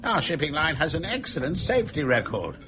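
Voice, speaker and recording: A man's voice: fairly high pitch, big pitch swings, brisk, sharp tone. An actor playing a stiff, defensive male ship's captain in a radio drama, clipped and formal, with a crowd behind him. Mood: stiffly defensive.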